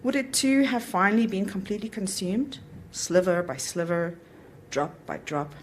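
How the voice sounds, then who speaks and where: A woman's voice: mid-range voice, normal speed, a little hiss or hum. Woman reading literary fiction aloud. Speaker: author reading own story. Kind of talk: literary story reading at an event.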